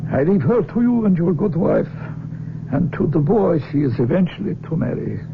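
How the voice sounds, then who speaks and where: An actor playing an earnest, courtly, pious foreign doctor in a 1970s radio drama, speaking with deliberate emotion. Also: male voice, creaky, full character voice. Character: earnest, self-sacrificing foreign doctor, a secret father. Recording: muffled old radio broadcast, rumbling noise.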